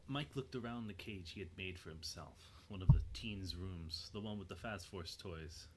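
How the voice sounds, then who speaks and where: A man narrating a scripted dramatic story in a measured, suspenseful way. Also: deep voice, very noisy.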